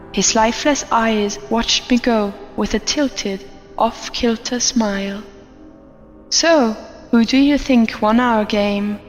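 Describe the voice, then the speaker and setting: A woman playing a haunted, fearful office worker, narrating an audio horror drama in a grave, deliberate way. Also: on the high side. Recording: long reverb, dull sound, music behind.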